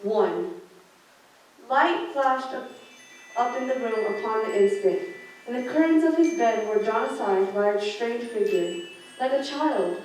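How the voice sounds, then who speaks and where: Echoey high-voiced teenage girl narrating. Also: lively intonation, a little slow, darkish tone, soft background music. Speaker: narrator in a student radio play. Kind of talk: scripted storytelling narration. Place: large school theater classroom, indoors. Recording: echoey room, distant mic, background noise.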